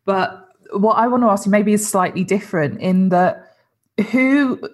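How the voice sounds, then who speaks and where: A woman's voice: medium pitch, lively intonation, unhurried. A woman hosting an interview, responding thoughtfully and asking conversational questions. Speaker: interview host.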